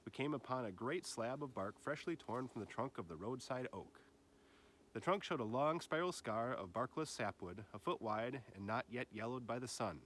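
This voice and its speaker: A man reading a literary nature essay aloud like an audiobook, with measured, deliberate phrasing, as a teacher would for a class. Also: medium pitch, animated, a bit bright, slight background noise.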